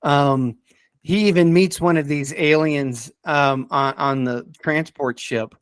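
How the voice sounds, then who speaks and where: A man in a casual podcast discussion, speaking in a hesitant, halting way and often repeating words as he reviews stories. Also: on the high side, steady tone, smooth, no room sound.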